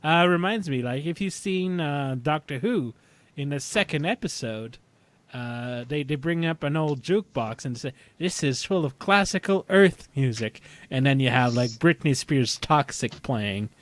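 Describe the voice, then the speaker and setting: A man's voice: fairly high pitch, lively intonation, unhurried, dry recording. A man co-hosting a podcast in casual chat, often stumbling and repeating words as he goes. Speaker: podcast co-host.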